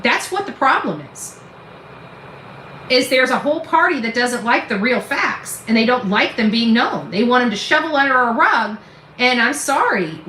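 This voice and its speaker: High-voiced, blunt woman in an echoey room. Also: pushed and bright, slight background noise. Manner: blunt, defiant, combative, salty slang. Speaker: YouTube true-crime commentary host. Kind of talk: opinionated live commentary, informal ranting. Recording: echoey, roomy sound.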